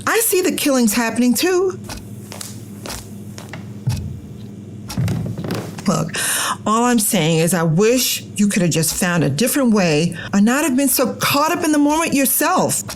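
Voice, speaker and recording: A woman's voice: on the high side, very animated, normal speed, a little hiss or hum, poor audio. A middle-aged woman playing a concerned, protective American mother in a naturalistic drama, emphatic and reproachful. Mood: exasperated.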